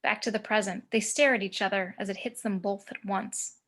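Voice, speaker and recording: A woman's voice: medium pitch, fairly even intonation, a little fast, a bit bright. A woman reading screenplay action descriptions as narrator of a table read over a video call. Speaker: narrator reading stage directions.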